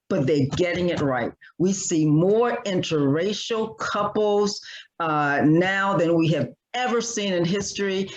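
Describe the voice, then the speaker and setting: A man speaking as a panelist in an online discussion, earnest and repetitive, halting with many restarts. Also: high-pitched, highs cut off.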